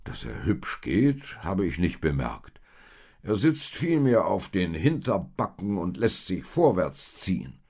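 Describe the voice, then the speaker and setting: A man's voice: sits low, sing-song, slow pace, darkish tone, heavily acted. A man voicing a poor, humble, weary husband in a storybook tale, earnest and hesitant. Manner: hesitant, subdued. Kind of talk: storybook character voice in narrated tale.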